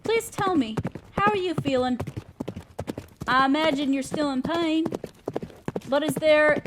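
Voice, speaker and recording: A gentle, doting centaur woman, as a woman acts her out speaks in a high voice with a soft Southern lilt. She fusses over wounds and drifts into apologetic little rambles. It's a fantasy audio roleplay. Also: a little slow, noisy background. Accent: Southern US, put on. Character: kind gentle female centaur traveler and healer. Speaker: caretaker tending wounds.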